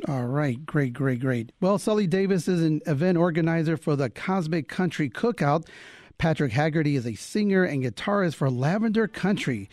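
A man hosting a radio interview, asking guests brisk questions and moving the show along. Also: a little high, lively intonation, darkish tone, dry recording. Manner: brisk, steers the conversation. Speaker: radio host.

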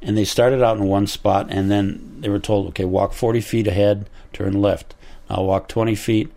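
A man's voice: lowish voice, steady tone, normal speed, creaky, mellow. A man in his sixties with an American accent, talking casually in an interview. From Milwaukee, United States. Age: 60s. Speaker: pirate radio operator being interviewed.

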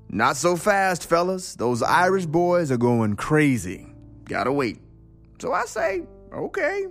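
A man playing a streetwise factory worker telling his story colloquially in a radio drama, with a gravelly, wry voice. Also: medium pitch, heavily acted. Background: music.

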